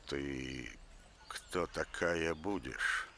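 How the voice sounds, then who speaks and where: A man voicing a suspicious, wary rustic man in an animated film, deliberate and gravelly. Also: very deep voice, a little hiss or hum, full character voice. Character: suspicious, slow-spoken rustic man with a pet.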